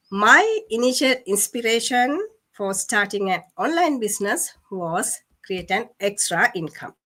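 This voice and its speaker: A woman's voice: mid-range voice, a bit bright. A woman from Sri Lanka, a guest on a livestream interview, explaining earnestly with some fillers.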